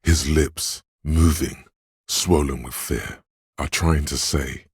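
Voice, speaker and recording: The watchful inner sense of sight in a video game, a calm male voice that notes every detail in a measured, matter-of-fact way. The voice sits extremely low and sounds very husky and rough. It is recorded dry and close in a studio. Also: dry recording, full character voice. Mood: observant. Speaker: voice actor. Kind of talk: scripted video game voice line.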